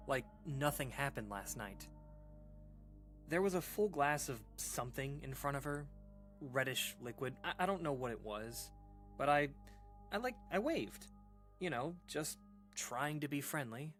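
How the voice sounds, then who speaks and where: A voice actor playing a rattled person recounting a frightening childhood memory in a halting, conversational way, with stammers and dramatic pauses. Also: medium pitch, fairly bright tone. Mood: unsettled. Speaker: storyteller. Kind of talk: audio drama monologue, conversational horror storytelling. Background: music.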